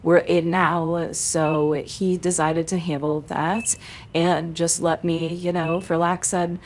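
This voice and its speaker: A woman playing a calm, persuasive mother of a teenager in an amateur voiced roleplay, with a gentle, explanatory manner. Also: lowish voice, slow, slight background noise. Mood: reassuring. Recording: music behind the voice.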